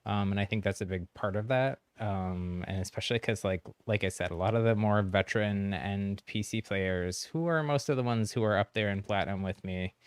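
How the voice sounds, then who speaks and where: A man's voice: lowish voice, fairly quick, few pauses, clear, resonant tone, no room sound. A man hosting a livestream, chatting casually with viewers in a friendly, easygoing way. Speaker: game streamer, host.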